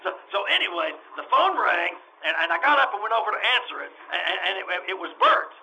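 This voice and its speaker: Man acting a high-pitched, flustered, stammering animal-show owner. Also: sing-song, fast, sharp tone, exaggerated voice, low-quality recording. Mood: flustered, chatty. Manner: stammering, repeats himself, rambling. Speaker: stage actor in radio troupe. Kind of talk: old-time radio drama acting. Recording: faint noise, live radio re-enactment.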